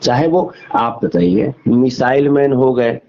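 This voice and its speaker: A man's voice: medium pitch, animated, takes it slowly. A man argues his opinions emphatically in a phone interview, repeating phrases and posing rhetorical questions. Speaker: interview guest. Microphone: phone call. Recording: dull lo-fi phone call recording.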